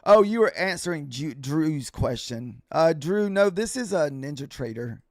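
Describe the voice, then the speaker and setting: A man hosting a casual live trading stream, thinking aloud in an informal, halting way, his voice getting a little hoarse. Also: on the high side, animated, darkish tone, no echo, clean studio sound. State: voice starting to get hoarse. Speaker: livestreaming futures day trader and host. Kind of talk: casual live trading commentary, answering viewers.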